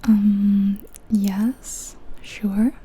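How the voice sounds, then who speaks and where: Slow, whispering ASMR woman. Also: mid-range voice, flat delivery, slight background noise, low-quality recording. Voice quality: breathy unvoiced whisper. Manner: gentle, unhurried, chatty. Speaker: ASMR video game streamer. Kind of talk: whispered ASMR game commentary.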